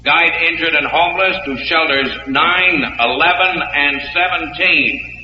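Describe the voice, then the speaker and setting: An actor playing an official emergency dispatcher, formal and deliberate, in 1940s radio drama style. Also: male voice, fairly high pitch, natural voice. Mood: official, urgent. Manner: formal, deliberate, repeats orders. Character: official male emergency dispatcher broadcasting orders. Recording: echoing public-address sound, dull, faint noise.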